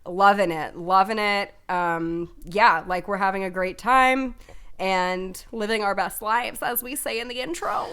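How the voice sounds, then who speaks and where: A woman in her twenties co-hosting a podcast, playful and giggly, with a slightly hoarse voice. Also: medium pitch, steady tone, a little slow, a bit bright. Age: 20s. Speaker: podcast co-host. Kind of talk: casual chat.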